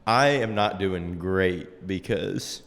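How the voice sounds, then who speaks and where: A man in his twenties hosting a podcast, relaxed and quick to laugh, asking questions into a handheld mic. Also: low voice, big-room sound. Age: 20s. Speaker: podcast host. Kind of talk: casual interview chat. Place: room with dark backdrop, seated in a folding chair.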